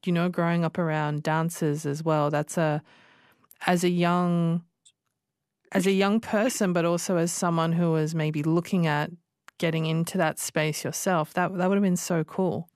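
A woman's voice: on the low side, fairly even intonation, normal speed, a little mellow, no echo. A woman hosting a casual radio interview in a studio, encouraging and chatty with many fillers. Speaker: radio show host.

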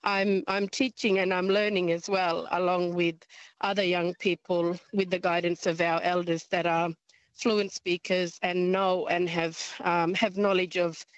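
Halting, low-voiced woman. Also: level tone, dry recording, highs cut off. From northern Australia. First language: a local clan language. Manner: halting, many ums, thoughtful. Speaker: guest, language teacher. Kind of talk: radio interview.

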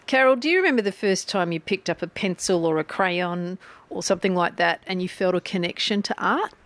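A woman's voice: on the low side, a little fast, fairly bright tone. A woman, hosting a radio interview in an artist's studio, chatty and playful with her guest. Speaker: radio presenter.

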